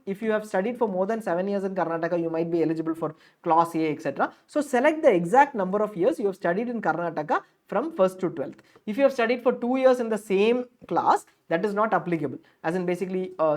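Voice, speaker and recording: A woman's voice: mid-range voice, darkish tone. A woman walking viewers through an online application form, explaining each step with frequent fillers and rephrasings. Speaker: counselling guide presenter. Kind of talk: screen-share tutorial walkthrough.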